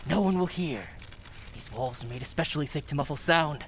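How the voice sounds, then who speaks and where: A woman plays a scheming male villain in an old radio melodrama. The delivery is theatrical and swings widely, on the low side for a female voice, with some noise in the recording. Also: animated, a little fast. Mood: gloating menace. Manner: theatrical, menacing, gloating. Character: male villain, feigning mild manners, secretly sadistic, gloating madman.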